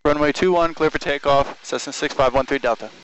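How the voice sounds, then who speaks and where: A man's voice: a little high, a little fast, slight background noise. An American man in his twenties making clipped pilot radio calls through an aviation headset in a small plane. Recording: dull cockpit headset audio.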